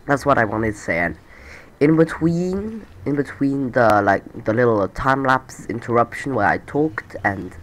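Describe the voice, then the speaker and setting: A man's voice: mid-range voice, lively intonation, moderate pace, a bit bright. Chatty, rambling man narrating his gameplay. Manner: rambling and chatty, correcting himself mid-sentence. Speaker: gaming channel host. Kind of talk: casual voice-over commentary over sped-up gameplay.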